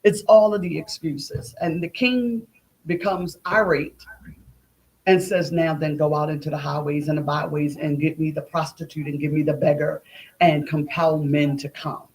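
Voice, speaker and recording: A woman's voice: sits low, fairly even intonation, normal speed, darkish tone, rough recording. A woman talking conversationally as an interview guest, telling stories and acting out what people said. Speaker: guest, playwright. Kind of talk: conversational storytelling.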